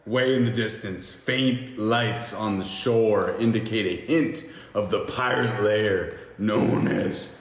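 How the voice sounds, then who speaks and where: A man hosting a podcast, reading screenplay stage directions aloud in a halting, jokey amateur way with stumbles. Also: lowish voice. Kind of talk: amateur screenplay table read. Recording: echoey reverberant room with faint hiss.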